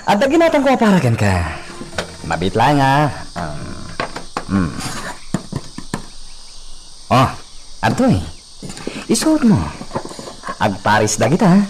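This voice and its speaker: Managpanggep a kontrabida iti drama. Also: male voice, medium pitch, wildly swooping pitch, slow pace, pauses often, noisy background. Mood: coaxing, agitated. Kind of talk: Ilocano radio drama acting.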